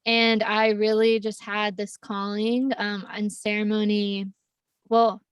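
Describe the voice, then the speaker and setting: A woman's voice: medium pitch, flat delivery, slow pace, clear, resonant tone, no room sound, clean studio sound, far from the mic. A young woman who grew up in Costa Rica, telling her story as a podcast guest, laughing often and rambling with many fillers. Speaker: podcast guest, plant medicine facilitator. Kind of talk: casual interview storytelling.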